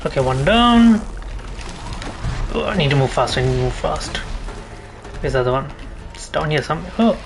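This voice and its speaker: A man's voice: fairly high pitch, animated, moderate pace, pauses often. Man thinking aloud over game music. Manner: muttering to himself, thinking aloud. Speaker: gaming video host. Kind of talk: casual gameplay commentary.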